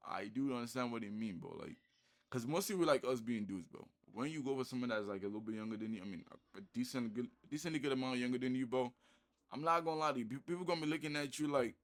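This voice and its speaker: A man giving casual commentary to his viewers, loose and conversational, calling them bro. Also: mid-range voice. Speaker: commentary YouTuber.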